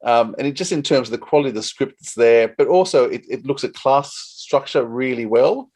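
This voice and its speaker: A man's voice: mid-range voice, a little fast, darkish tone, breathy edge. A man hosting a conversational interview, chatty and rambling, with plenty of enthusiasm. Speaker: podcast interviewer.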